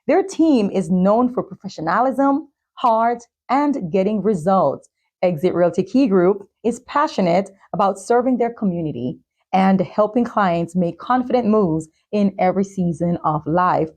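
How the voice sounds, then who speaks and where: A woman speaking as the host of a talk show and podcast, with a husky voice. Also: medium pitch, lively intonation, measured pace, darkish tone. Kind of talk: scripted intro and sponsor reads, then supportive interviewing.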